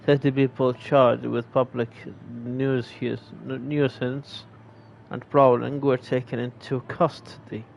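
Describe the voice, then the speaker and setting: A man playing a game, reading the on-screen text aloud in a slow, hesitant way and pausing to explain words. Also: mid-range voice, level tone, gravelly, a little hiss or hum, poor audio. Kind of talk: casual gameplay commentary.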